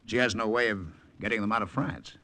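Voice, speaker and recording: An actor playing a plainspoken, grateful American air force major telling a war story in 1940s radio style, with a folksy manner. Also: male voice, lowish voice, fairly even intonation, brisk, pushed and bright. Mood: relieved, frank. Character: plainspoken, grateful American airman major, rescued after shootdown.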